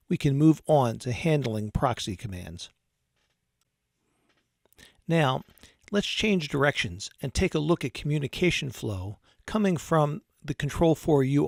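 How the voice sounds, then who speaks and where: A man narrating a scripted technical tutorial as an instructor, explaining in a measured, methodical way. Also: medium pitch, gravelly, no room sound, clean studio sound.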